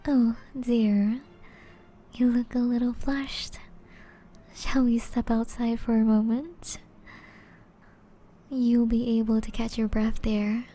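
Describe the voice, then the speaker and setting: Casting: actress as seductive aristocratic vampire woman for ASMR roleplay; mellow, unhurried, breathy and refined, music underneath. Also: fairly high pitch, little pitch movement. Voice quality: soft, breathy, often whispery. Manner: refined, formal, teasing, slow and deliberate.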